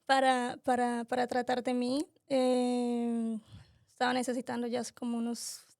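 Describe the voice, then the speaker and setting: A young woman from Colombia, speaking as a podcast guest with a high, flat voice and a creaky edge. She talks in a halting, unhurried way, and the sound is dry and close. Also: slight background noise. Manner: halting, many "eh" fillers, unhurried. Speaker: guest, massage therapist. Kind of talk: casual interview chat.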